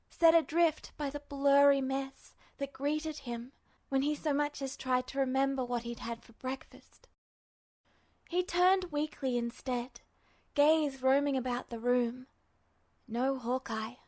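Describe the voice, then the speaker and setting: A woman reading fiction aloud as a scripted story narrator, measured, with dramatic pauses. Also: sits high. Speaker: amateur audiobook reader.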